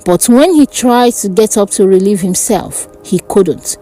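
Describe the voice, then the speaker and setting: A woman narrating a dark fantasy folk tale in a dramatic, deliberate way. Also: medium pitch, animated. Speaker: storyteller. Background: background music.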